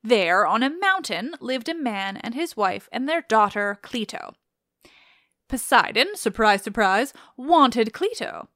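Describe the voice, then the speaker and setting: A woman's voice: a little high, expressive, unhurried, fairly bright tone, dry recording, studio quality. A woman hosting a podcast, commenting on mythology casually with wry, sarcastic asides. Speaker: podcast host.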